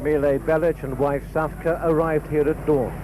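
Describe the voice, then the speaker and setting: A man's voice: on the high side, steady tone, normal speed, background noise. A man narrating a scripted television news report.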